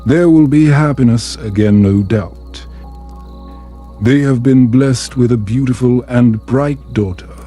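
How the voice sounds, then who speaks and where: A man voicing a gentle, easygoing, smooth-talking young prince in a fantasy bedtime story. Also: mid-range voice, measured pace, soft background music, close to an everyday voice. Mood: reassuring. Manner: gentle, at ease.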